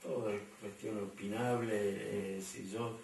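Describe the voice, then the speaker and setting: A low-voiced man with an Argentine accent and a gravelly edge. He answers at length in a loose, rambling way, full of fillers. He sounds recorded from a distance in a very echoey room over a faint hum. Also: flat delivery, unhurried, a little mellow. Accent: Argentine Spanish. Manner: rambling, many fillers and repeated words. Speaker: interviewee. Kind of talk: conversational interview answers.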